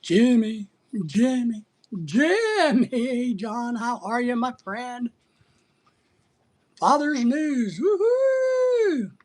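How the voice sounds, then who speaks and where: Husky-voiced American livestreamer, blunt and teasing. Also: medium pitch, sing-song, very slow, darkish tone. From United States. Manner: blunt, teasing, sometimes breaking into playful exclamations. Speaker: livestream host. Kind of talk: casual rambling live chat, answering viewer comments.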